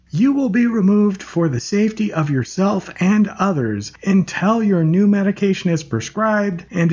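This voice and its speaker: A man voicing a stern, controlling female nurse who speaks in a raised but calm, measured voice. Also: a little high, breathy edge, highs cut off, heavily acted.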